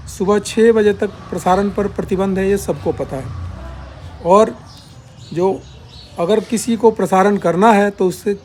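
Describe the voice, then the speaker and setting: A man hosting a formal, measured explainer, speaking haltingly in short broken phrases. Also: sits high, darkish tone, a little hiss or hum. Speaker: YouTube channel host. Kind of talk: spoken explainer, point by point.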